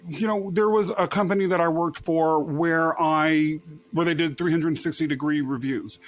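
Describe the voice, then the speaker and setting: A man hosting a podcast, asking long, expressive, rambling questions with frequent restarts. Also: fairly high pitch. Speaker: podcast host. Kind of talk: conversational interview questions.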